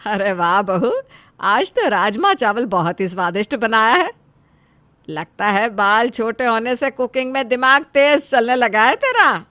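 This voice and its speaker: A woman acting a bright, nagging mother-in-law. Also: on the high side, animated, unhurried. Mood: pleased. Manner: scolding, exclamatory.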